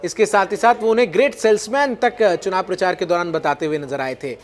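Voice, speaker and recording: A man with a notably high voice delivers news commentary. He explains events as a presenter would, stressing his points emphatically and framing them rhetorically. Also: fairly quick.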